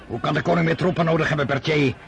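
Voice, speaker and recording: An actor in a radio drama playing a commanding, middle-aged emperor who is curt, impatient and self-assured, given to muttered asides. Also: male voice, on the high side, steady tone, racing, creaky. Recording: noisy battlefield radio drama with artillery.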